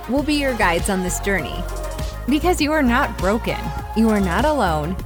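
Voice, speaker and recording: A woman co-hosting a podcast in casual chat, a little nasal from a cold, chatty and giggly. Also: mid-range voice. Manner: chatty, giggly, self-deprecating. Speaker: podcast co-host.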